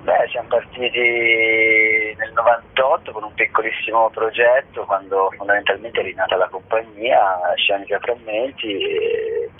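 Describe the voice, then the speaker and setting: Guy being interviewed, lowish voice, keeps hunting for words, tinny phone sound. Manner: hesitant, searching for words, repeats himself. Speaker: theatre festival artistic director being interviewed. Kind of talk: unscripted spoken interview. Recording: tinny phone line with clear noise.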